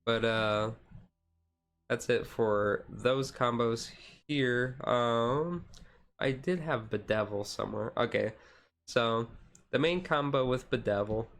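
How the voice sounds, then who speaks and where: A man livestreaming in a casual, laid-back, rambling way with informal, jokey slang. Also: mid-range voice.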